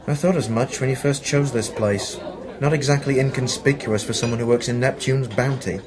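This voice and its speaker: A man's voice: mid-range voice, moderate pace, crowd noise, lightly acted, rough recording. Sardonic man acting a 1940s newspaper reporter. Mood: dry. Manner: dry, sardonic. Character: sarcastic, defiant male 1940s newspaper reporter. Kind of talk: amateur radio play acting.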